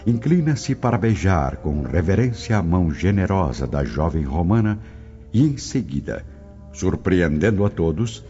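A man's voice: low-pitched, big pitch swings, measured pace. A man narrating a scripted audio drama in a dramatic storytelling style. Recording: dull lo-fi, loud music behind. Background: loud music.

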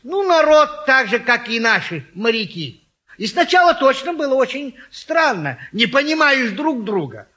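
A man's voice: very high-pitched, very animated, normal speed, sharp tone, full character voice. An actor playing a boastful, gallant retired naval lieutenant who tells tall tales in a stage comedy, hemming and savoring as he goes. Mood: chatty, boastful. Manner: hesitant hemming, savoring storyteller. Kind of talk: classic stage comedy acting.

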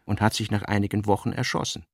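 A man reading scripted literary narration for a radio drama in a measured, sober manner, with a low, creaky voice. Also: little pitch movement, dry recording, clean studio sound.

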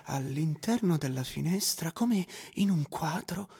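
An actor playing a young, idle shopkeeper who tells his story theatrically, wry and excitable, with stammered repetitions. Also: fairly high pitch, unhurried, creaky, breathy edge, heavily acted. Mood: excited recollection. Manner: expressive, hesitant stammering repetitions. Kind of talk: theatrical first-person narration with acted dialogue.

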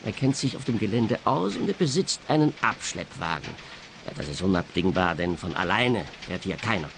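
Ernster Schrottplatzchef, leichte Hintergrundgeräusche. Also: male voice, deep voice, expressive, normal speed, vocal fry, fairly bright tone, slight background noise, exaggerated voice. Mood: matter-of-fact. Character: earnest, matter-of-fact male scrapyard boss. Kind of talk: radio play dialogue.

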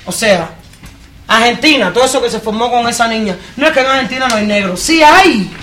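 A woman's voice: a little high, lively intonation, fairly quick, fairly bright tone, slight background noise. A woman from Cuba with a Cuban accent, speaking with force at a live public discussion, addressing the audience directly with rhetorical questions. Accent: Cuban Spanish. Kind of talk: impassioned live panel talk.

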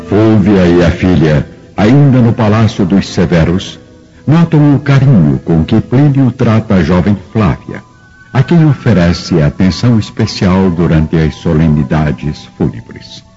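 Low, expressive male radio drama narrator. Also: a little slow. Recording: dull radio drama recording with music.

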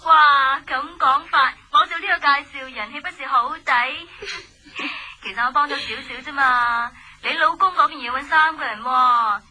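An actress playing an obliging, breezy woman friend in a radio drama, brisk and casual in Hong Kong Cantonese. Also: sits high, bright, forceful tone, low-quality recording. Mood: breezy, joking.

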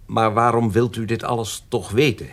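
An actor playing a discreet, obsequious, evasive notary in a radio drama, polite and very formal. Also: male voice, on the low side, fairly even intonation, normal speed, close to an everyday voice. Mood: evasive, deferential. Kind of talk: Dutch radio drama acting.

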